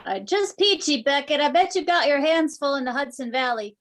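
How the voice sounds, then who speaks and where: A woman's voice: high voice, animated, a little fast. A woman playing a geeky, wisecracking conspiracy theorist researcher in an amateur readers theater reading. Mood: breezy.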